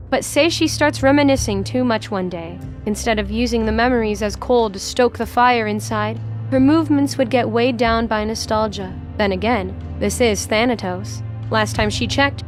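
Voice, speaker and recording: A woman's voice: fairly high pitch, normal speed, faint music behind. A woman narrating a scripted story for an audio drama.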